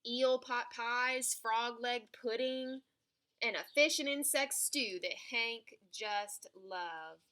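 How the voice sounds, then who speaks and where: Bright, higher-pitched woman reading a storybook. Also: slow pace. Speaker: storybook reader. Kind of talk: expressive children's picture book read-aloud.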